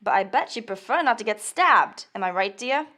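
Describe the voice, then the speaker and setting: A woman's voice: fairly high pitch, lively intonation, moderate pace, bright, forceful tone. An actress playing a sardonic, ruthless female rogue with a dry, menacing way of talking.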